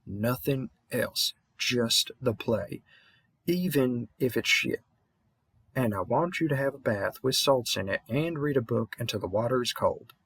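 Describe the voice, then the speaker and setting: A man's voice: mid-range voice, expressive, measured pace, a bit bright, husky, no room sound, full character voice. Man acting a rambling, Southern-accented veteran vampire hunter. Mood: encouraging. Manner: rambling, slightly mumbled, informal and encouraging. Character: experienced, rambling, kindly male vampire hunter mentor, big. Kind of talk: intimate scripted audio roleplay monologue.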